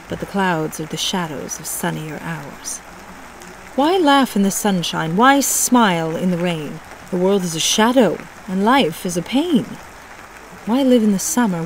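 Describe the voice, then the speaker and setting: Expressive woman reciting a poem. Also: mid-range voice, slight background noise, low-quality recording. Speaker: poetry reader. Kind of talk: dramatic poetry recitation.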